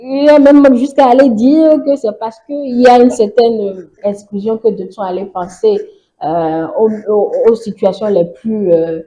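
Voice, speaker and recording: A man's voice: high-pitched, unhurried, dark tone, rough recording. A man hosting a political interview, probing his guest by putting others' views to him. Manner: probing, relays critics' views. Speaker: interview host.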